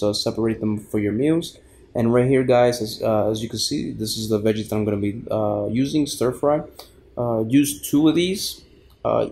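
A man's voice: lowish voice, a little mellow, a little hiss or hum. A man giving an informal how-to cooking voice-over as a fitness vlogger, addressing viewers casually.

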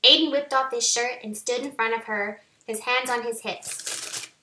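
A woman reads romance fiction aloud with a helium-affected voice. It is highish and sharply bright, with the roomy echo of a home recording. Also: fairly even intonation, normal speed, a little hiss or hum. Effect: voice raised by helium. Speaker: author reading own novel. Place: small home office, indoors. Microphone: webcam at arm's length.

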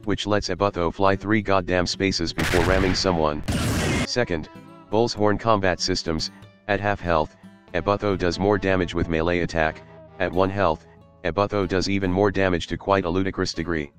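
Male reviewer, very low voice, relaxed scripted delivery with the odd curse, faint music bed. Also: moderate pace. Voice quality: casual, swears for emphasis. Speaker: YouTube game reviewer. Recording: light guitar music behind.

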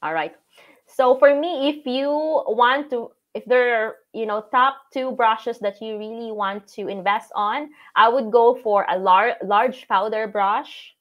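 A woman in her thirties with a Filipino accent and a raspy voice, hosting a live video chat and going over makeup brushes. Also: a little high, a little slow, muffled recording. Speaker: host of a live show. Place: home room with shelves.

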